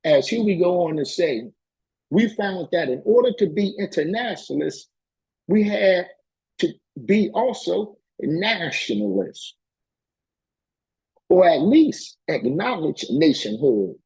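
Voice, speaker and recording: Male lecturer, notably high voice with heavy rasp, hammering points home in plain colloquial speech and repeated phrases. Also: animated, slow, darkish tone, poor audio. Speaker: political education lecturer. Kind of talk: impassioned political lecture, reading and commenting on a text.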